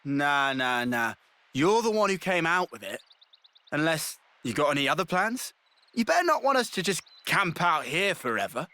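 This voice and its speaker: A man's voice: fairly high pitch, very animated, moderate pace, sharp tone, exaggerated voice. A man with a British accent acting a brash, restless young fighter in a game cutscene, blunt, slangy and impatient. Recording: music behind the voice.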